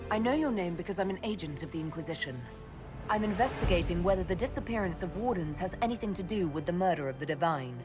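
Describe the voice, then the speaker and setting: Female actor as young elf warrior woman, lowish voice, composed, formal, direct, fantasy game dialogue, music underneath. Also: lively intonation, quick pace, close to an everyday voice. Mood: firm, questioning. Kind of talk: video game dialogue acting.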